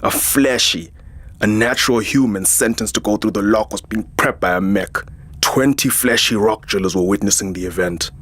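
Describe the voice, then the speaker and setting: Jaded transhuman security chief for audio drama, male actor. Husky lower register, sardonic, proud, narrates his own story. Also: moderate pace, fairly bright tone. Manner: sardonic, world-weary. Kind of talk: first-person scripted sci-fi narration.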